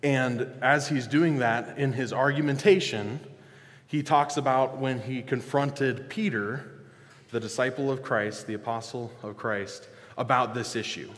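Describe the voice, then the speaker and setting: Man, pastor, casual sermon, halting with fillers, middle pitch, long hall reverb. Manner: relaxed, joking, halting with fillers. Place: church sanctuary.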